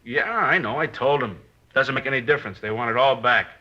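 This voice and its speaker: Sharp, surly crook. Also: male voice, medium pitch, brisk. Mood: harried. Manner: grudging, wary. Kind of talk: old-time radio crime drama acting. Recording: dull vintage radio recording.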